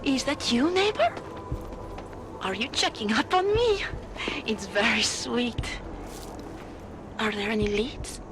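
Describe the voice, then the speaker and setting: An actress playing a sweetly teasing, familiar neighbor in a video game. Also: female voice, on the high side, lively intonation, slow, pauses often, over music. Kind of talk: video game cutscene acting.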